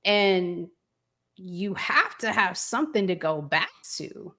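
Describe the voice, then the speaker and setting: A woman's voice: medium pitch, big pitch swings, slow pace, bright, forceful tone. A woman hosting a relationship discussion in an earnest, reflective conversational style, repeating phrases for emphasis. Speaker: relationship podcast host asking questions.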